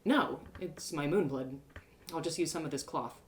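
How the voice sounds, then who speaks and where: A woman's voice: deep voice, normal speed, slight background noise, lightly acted. A woman playing a spirited, awkward young girl in an audio drama, earnest and easily flustered. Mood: wary.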